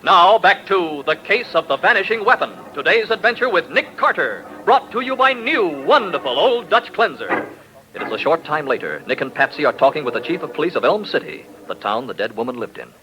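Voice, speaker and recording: A man's voice: a little high, sing-song, a little fast, bright, forceful tone, faint music behind, low-quality recording. A man with an American accent announcing in a punchy, polished 1940s radio style. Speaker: announcer and narrator. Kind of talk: 1940s radio announcing, scripted ad read and narration.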